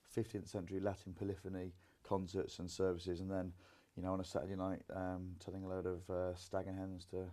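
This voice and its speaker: Low, mellow-voiced young comedian. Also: male voice, monotone, brisk, few pauses. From Grimsby, England. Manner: rambling, many fillers. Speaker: comedian and singer. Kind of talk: casual interview chat.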